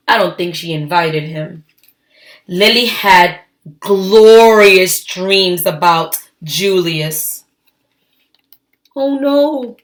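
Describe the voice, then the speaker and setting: A woman reading a storybook aloud to children, expressive and emphatic, adding her own asides between the lines. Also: mid-range voice. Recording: echoey, roomy sound.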